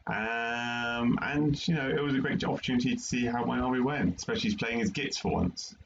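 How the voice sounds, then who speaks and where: A man's voice: mid-range voice, fairly quick, creaky. A man with a British accent chatting about his hobby in a rambling, hesitant way with many fillers. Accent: British English. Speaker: podcast co-host. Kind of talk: casual hobby chat. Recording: dull lo-fi mic with faint noise.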